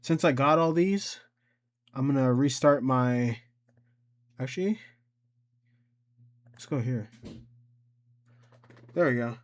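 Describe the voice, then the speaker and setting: Man, mid-pitched voice, casual tutorial delivery, rambling, thinking aloud. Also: takes it slowly, long pauses. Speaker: tutorial presenter. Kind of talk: casual screen-recorded software tutorial.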